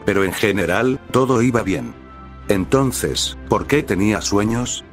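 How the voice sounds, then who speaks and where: A man reading a fanfiction story aloud as scripted narration, measured and delivered in short phrases. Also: lowish voice. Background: soft background music.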